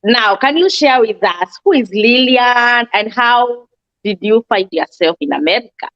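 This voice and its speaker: A woman's voice: on the high side, animated, a little slow, fairly bright tone. Enthusiastic female interview host. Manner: enthusiastic, affirming. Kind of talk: interview hosting. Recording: dull lo-fi sound.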